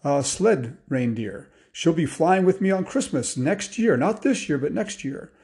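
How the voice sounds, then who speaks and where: A man voicing a jolly, big-hearted old Santa Claus in a hearty, excited manner for a homemade children's story. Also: measured pace. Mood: delighted, proud. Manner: booming ho-ho-ho laughs, hearty exclamations.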